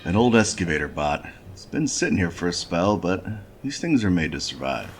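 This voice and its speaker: Noir space-station handyman, deep register, lively inflection, wry, folksy, laconic, video game monologue, faint music behind. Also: moderate pace. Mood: wry, matter-of-fact. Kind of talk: scripted point-and-click adventure game narration, noir.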